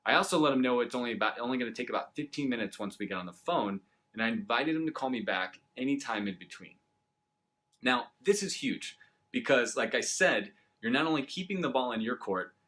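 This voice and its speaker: A man with a mid-pitched voice presents a short instructional tip as a business coach. He talks directly to the viewer in a conversational, explanatory way. Now and then he checks in with a brief rhetorical question. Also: pushed and bright. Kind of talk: instructional sales tip to camera.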